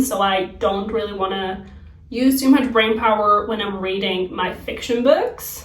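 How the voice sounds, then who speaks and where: A young adult woman from Slovakia with a Slovak accent, a native Slovak speaker, chatting casually to viewers as a lifestyle vlogger in an open, earnest way. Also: mid-range voice. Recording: roomy, distant microphone.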